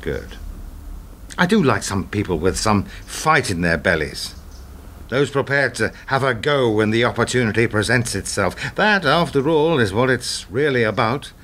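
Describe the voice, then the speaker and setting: An actor in a radio play, playing a menacing, controlling middle-aged fixer in a deliberate, condescending, sardonic manner. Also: male voice, medium pitch, lively intonation, fairly bright tone. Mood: smug, menacing.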